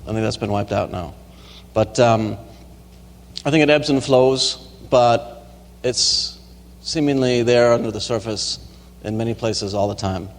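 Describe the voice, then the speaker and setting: Lecturer answering questions in a hall. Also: male voice, medium pitch, measured pace. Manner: hesitant, many ums and restarts. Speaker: university lecturer. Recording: reverberant lecture hall recording.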